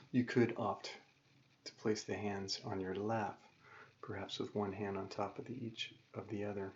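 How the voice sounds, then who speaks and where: A man leading a guided meditation as a yoga teacher, calm and gentle, giving measured instructions with pauses. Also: fairly low pitch. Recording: dull lo-fi recording with faint noise.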